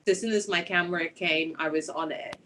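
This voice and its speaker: A woman's voice: on the low side, steady tone, fairly quick, fairly bright tone, slight background noise. A woman with a Nigerian accent, a singer-songwriter telling her personal story in an interview.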